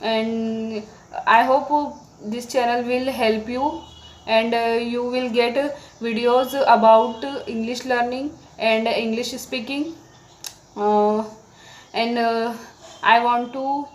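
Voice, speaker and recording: A woman with an Indian accent and Marathi as her native language, speaking halting, simple learner's English in an informal talk to viewers. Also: a little high, steady tone, low-quality recording. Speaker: English-practice vlogger. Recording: background noise.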